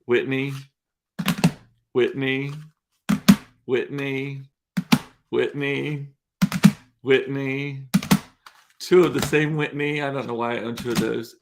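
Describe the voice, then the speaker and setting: A man's voice: mid-range voice, level tone, takes it slowly, vocal fry, pauses often, very noisy. A congested, sniffly man in his forties hosting a casual livestream from a room at home, rambling and chatty as he tells stories. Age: 40s. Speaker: livestream host. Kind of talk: casual solo livestream chat.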